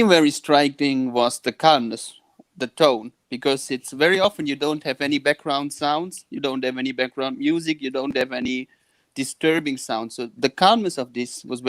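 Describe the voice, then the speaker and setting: A man in his thirties from Germany, speaking calmly and measured in a casual chat. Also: medium pitch, no echo. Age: 30s.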